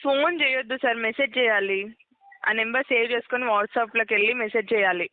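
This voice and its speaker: A woman customer care agent speaks quickly over a narrow, tinny phone line. She gives step-by-step instructions, repeats them and checks that the caller follows. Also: fairly high pitch, no room sound. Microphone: telephone call.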